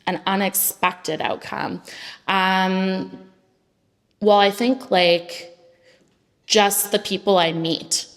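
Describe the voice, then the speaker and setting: A woman's voice: medium pitch, extremely slow, long pauses, long reverb tail. A woman founder speaking on a panel in a casual way, often saying "like". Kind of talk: panel discussion talk.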